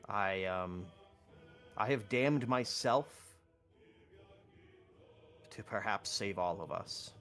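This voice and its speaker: A broken chaos sorcerer, played by a man at a tabletop game, speaks in grave, halting phrases. He sounds solemn and resigned, with faint music underneath. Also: on the low side, a bit bright, pitched below the performer's own voice. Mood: solemn resignation.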